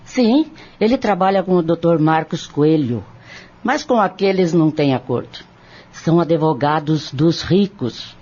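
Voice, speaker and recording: A woman playing a concerned, resigned country sister with a husky voice in a Brazilian audio drama. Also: deep voice, lively intonation, measured pace, darkish tone, muffled recording, heavily acted. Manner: familiar, resigned, plain-spoken.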